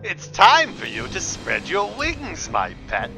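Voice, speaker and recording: A cruel dark master of dragons, with a high, gravelly, creaking voice that swings wildly in pitch. He drags out every threat slowly and commandingly. Soft music runs underneath. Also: huge pitch swings, full character voice. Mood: menacing. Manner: slow, menacing, gravelly command. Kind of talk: fan dramatic reading.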